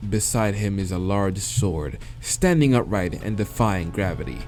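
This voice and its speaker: Expressive, low-voiced man reading aloud. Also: measured pace, slight background noise. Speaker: let's player reading aloud a visual novel. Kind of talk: dramatic read-aloud narration with casual asides.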